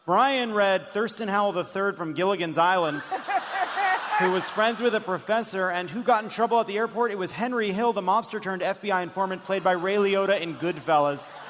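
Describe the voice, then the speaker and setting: A man's voice: sits high, expressive, moderate pace. A man hosting a live comedy panel quiz with teasing, mock-solemn patter. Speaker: comedy show host and quizmaster. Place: live stage before an audience. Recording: echoey hall, loud background noise.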